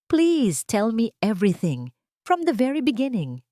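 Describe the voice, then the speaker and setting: Woman voicing an authoritative woman lawyer, forties, measured. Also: fairly high pitch, huge pitch swings, dark tone, dry recording, clean studio sound, pitched above the performer's own voice. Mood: businesslike. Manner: formal, measured. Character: authoritative, sharp yet kind woman lawyer in her forties.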